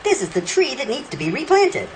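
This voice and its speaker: A woman's cheerful, encouraging voice giving clear step-by-step instructions in a game, praising each step. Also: high voice, huge pitch swings, brisk, noisy background. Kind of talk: cheerful scripted game prompts. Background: loud game music.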